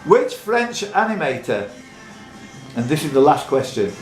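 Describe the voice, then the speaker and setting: A man's voice: fairly high pitch, very animated, unhurried. A man hosting a live pub quiz, reading questions and chatting teasingly with contestants. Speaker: quiz host. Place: echoey room in a pub. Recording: roomy echo, music in background.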